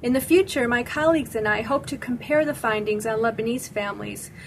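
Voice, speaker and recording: A woman's voice: fairly high pitch, slight background noise, poor audio. Woman sociologist narrating a prepared script. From Michigan, United States. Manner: measured, evenly paced reading of a prepared statement. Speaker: sociologist and researcher. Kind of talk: scripted first-person narration.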